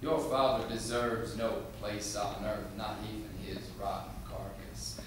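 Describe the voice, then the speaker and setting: A solemn, vengeful divine spirit, voiced by a man hands down measured judgements in rhyme. The stage monologue is heard from a distance in a very echoey room. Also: lowish voice, steady tone, lightly acted. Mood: solemn, condemning. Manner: measured, rhyming pronouncements. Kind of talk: theatrical dramatic monologue. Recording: very echoey room, distant mic, faint noise.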